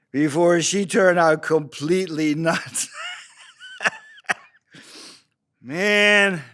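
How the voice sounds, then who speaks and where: A man playing a crude, bitter, drunken father making excuses in a halting, rambling table-read monologue. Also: high-pitched, pushed and bright, clear, resonant tone, heavily acted. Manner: slurred, halting drunken delivery with rambling asides.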